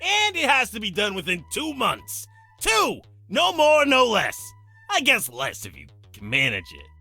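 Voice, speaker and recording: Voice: medium pitch, huge pitch swings, unhurried, creaky, sharp tone, soft background music, exaggerated voice. An actor playing a flamboyant, teasing demon master of ceremonies in a visual novel, announcing with theatrical relish. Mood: sly briefing. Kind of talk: character acting in a visual novel.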